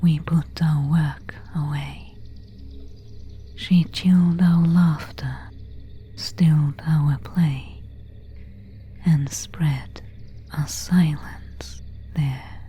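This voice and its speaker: A woman voicing an elegant, melancholy vampire in an ASMR roleplay, in a breathy whisper with formal, old-fashioned phrasing. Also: low voice, fairly even intonation, extremely slow, long pauses, warm tone, full character voice. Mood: hushed, ominous. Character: pale, elegant, melancholy female vampire of an ancient castle.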